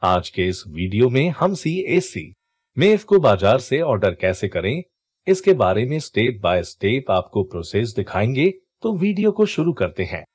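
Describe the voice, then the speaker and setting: Husky man narrating a screen tutorial. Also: medium pitch, sing-song, unhurried, a little mellow, dry recording, clean studio sound. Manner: instructive, explains each click. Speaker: tutorial channel host. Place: voice-over for a screen recording.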